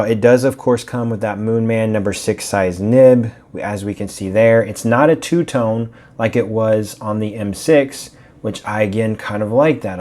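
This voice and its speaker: Man, a bit raspy, chatty pen review. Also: fairly low pitch, mellow. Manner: chatty, rambling, with fillers and restarts. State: slightly raspy from allergies. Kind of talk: casual product review.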